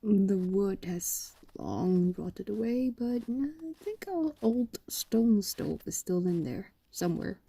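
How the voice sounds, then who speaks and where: A woman's voice: medium pitch, expressive, slow pace, creaky, hardly any pauses, warm tone, slightly rough, no room sound, heavily acted. A woman voicing an ancient, possessive and doting fox demon in an intimate roleplay audio drama, coaxing and condescending, often slipping into whispers. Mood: wistful, fond.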